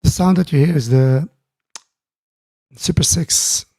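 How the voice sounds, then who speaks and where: Gravelly, mellow man. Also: on the high side, poor audio. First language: Dutch. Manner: halting, many fillers and restarts, greets chat viewers. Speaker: live-stream host. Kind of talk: casual live-stream chat. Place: home music studio.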